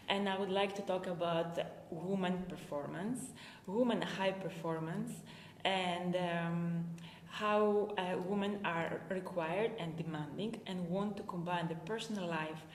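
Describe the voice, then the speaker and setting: A woman's voice: lowish voice, slight background noise. A Greek woman speaking English with a Greek accent, a performance coach talking live to her viewers, hesitant with many filler sounds. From Greece. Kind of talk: live-stream talk to viewers. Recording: echoey room with long reverb.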